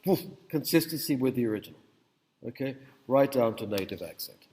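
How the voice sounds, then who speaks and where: A man's voice: mid-range voice, expressive, brisk, a little mellow, a little hiss or hum. A man giving a university lecture in a conversational, digressive way, often checking in with the audience. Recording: echoey hall with long reverb.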